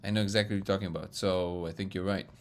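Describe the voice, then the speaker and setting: Booming deep-voiced man, gravelly. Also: rapid-fire. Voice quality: loud booming voice. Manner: excitable, laughs often, reads chat aloud. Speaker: livestream host answering chat. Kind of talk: casual live-stream chat.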